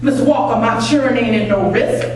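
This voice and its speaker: A poor, God-fearing Southern mother played by a woman reading a stage play, defiant and fiercely protective, speaking in plain rural dialect. Her high voice carries through a very echoey room, picked up from a distance. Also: moderate pace, a little mellow, close to an everyday voice. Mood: pleading, defiant. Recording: echoey room, distant mic, faint noise.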